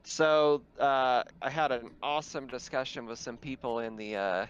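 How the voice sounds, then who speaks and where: A man talking casually as game master, explaining things in a halting way over dull, noisy voice-chat audio. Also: mid-range voice, steady tone, clean tone. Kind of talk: casual tabletop game talk.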